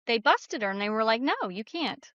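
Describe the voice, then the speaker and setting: Female interview guest, earnest conversational storyteller, fairly high voice, dry sound with a slightly lo-fi edge. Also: sing-song, moderate pace, fairly bright tone, dry recording. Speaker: guest author being interviewed.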